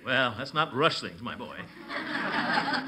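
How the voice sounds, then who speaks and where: An American actor playing a pompous, good-humoured middle-aged uncle in 1940s radio comedy, blustering and chuckling through drawn-out hesitations. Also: male voice, medium pitch, huge pitch swings, noisy background. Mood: jovial.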